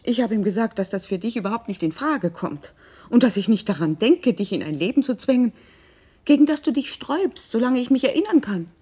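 An actress playing an earnest middle-aged mother who confides in her grown son, deliberate and emphatic, in a naturalistic radio drama. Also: fairly high pitch, expressive, warm tone, slightly rough. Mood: indignant, agitated.

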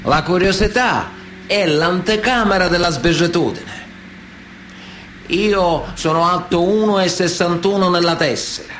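An actor playing an indignant, self-pitying Sicilian mafia defendant testifying in a radio play, protesting plaintively and emphatically with a Sicilian accent. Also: male voice, on the high side, a bit bright. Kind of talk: dramatic radio theatre monologue. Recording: noticeable background noise.

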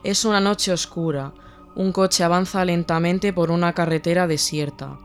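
A man narrating scripted horror fiction in a measured, atmospheric way. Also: high-pitched, steady tone, faint music behind.

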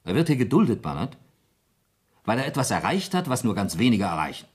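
Animated, low-voiced veteran police sergeant. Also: male voice, a little fast, husky. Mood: proud, pointed. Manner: expressive, ironically pointed. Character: loyal, pointed, seasoned male police sergeant. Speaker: actor in a radio crime drama. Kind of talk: 1970s German radio play acting.

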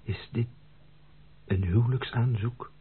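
An actor playing an earnest, protective gentleman cousin in love, with emphatic, formal delivery in a Dutch radio play. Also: mid-range voice, steady tone, slow pace, slight background noise, close to an everyday voice. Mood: hopeful, astonished. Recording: muffled, narrow-band old radio recording.

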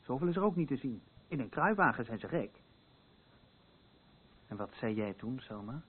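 It sounds narrow and muffled, like a phone line. a concerned male confidant in a radio drama, challenging and protective. His husky voice swings widely in pitch as he presses his questions. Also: very animated, normal speed. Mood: reminiscing. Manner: pointed, questioning, repeating himself. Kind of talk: radio play acting.

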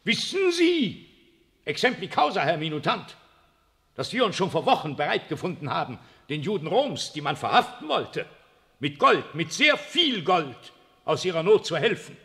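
An imperious aging pope in a 1960s radio drama, lofty and cold. His pitch swings very widely, and the voice rings with a long reverb. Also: very animated, moderate pace, pushed and bright, heavily acted. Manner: lofty, cold, uses royal we. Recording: long reverb of a large room.

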